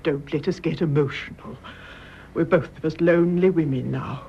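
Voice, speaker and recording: An actress playing a composed, stoic older mother in a radio drama, speaking in a measured, tender way that drops into hushed tones. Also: low voice. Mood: calm, consoling. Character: composed, stoic elderly mother confessing to mercy killing. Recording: muffled, hissy old radio drama recording.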